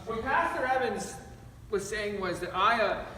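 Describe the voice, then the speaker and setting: A man's voice: high-pitched, measured pace. A young man, a magician, giving a casual, joking church talk without a microphone while doing a card trick, heard from a distance in a small church room. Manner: loud and chatty, joking with the audience. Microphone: no microphone, recorded from a distant camera. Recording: echoey room from far off, noisy.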